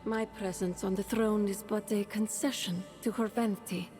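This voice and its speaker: A woman playing a calm, earnest alien ruler in video game dialogue, speaking in a measured, solemn and lyrical way. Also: medium pitch, faint music behind, natural voice. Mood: calm, revealing. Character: calm, earnest alien woman, serene stand-in ruler pleading for her people.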